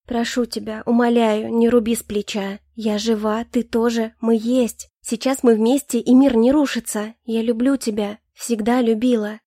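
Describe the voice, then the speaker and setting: A woman reading the lines of a proud, emotional young queen in an audiobook, earnest and pleading, quick to flare up. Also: a little high, level tone, warm tone, no room sound, studio quality.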